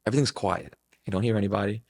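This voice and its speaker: A man's voice: fairly low pitch, rapid-fire, darkish tone. A man in his twenties talking casually and reflectively as a podcast guest, earnest and rambling, with plenty of filler words. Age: 20s.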